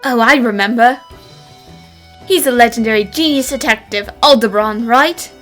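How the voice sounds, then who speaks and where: A woman voicing an eager, curious young female detective in an animated mystery fan dub. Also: fairly high pitch, normal speed, faint music behind, lightly acted. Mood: curious, excited.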